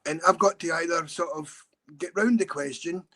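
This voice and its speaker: A man's voice: on the high side, moderate pace, pushed and bright. A middle-aged Scottish man telling a story in an earnest, urgent way. He talks a lot, in a casual podcast chat. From Scotland. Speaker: campaigner and guest.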